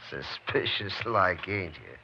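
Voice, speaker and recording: Shifty male western outlaw, folksy and evasive, highly animated, dull vintage radio sound. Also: exaggerated voice. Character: shifty, nervous drifter outlaw caught by a lawman. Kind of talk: 1950s western radio drama acting. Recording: muffled, noisy old 1950s radio recording.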